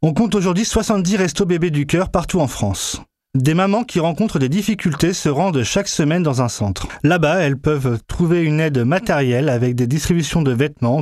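Male reporter for scripted explainer narration, slightly high voice, dry close studio sound. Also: a little mellow, no room sound.

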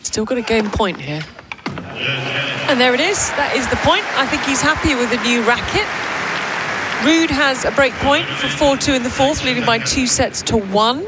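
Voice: high-pitched, normal speed. A live tennis commentator co-hosting a show, mixing point-by-point calls with playful, teasing banter. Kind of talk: live radio sports commentary mixed with casual banter. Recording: crowd and vehicle noise behind. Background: tennis crowd ambience.

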